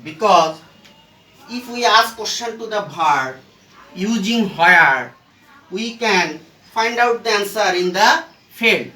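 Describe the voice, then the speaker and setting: A teacher giving a step-by-step grammar lesson in short, halting phrases, asking and answering their own questions, recorded from a distance in an echoey room. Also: mid-range voice, animated, sharp tone. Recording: echoey room, far from the mic.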